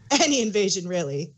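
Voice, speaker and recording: A woman's voice: medium pitch, slight background noise. A woman co-hosting a podcast in casual chat, tossing in wry, playful asides. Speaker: podcast co-host. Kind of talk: casual chat, joking banter.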